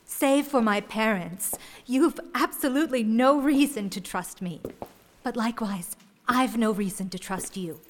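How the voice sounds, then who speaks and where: Actress as shrewd young schemer, slow, echoing. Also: female voice, fairly high pitch, lightly acted. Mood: defiant, measured. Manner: deliberate, formal, articulate. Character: shrewd, composed young woman bargaining amid fantasy intrigue. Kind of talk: fantasy audio drama acting.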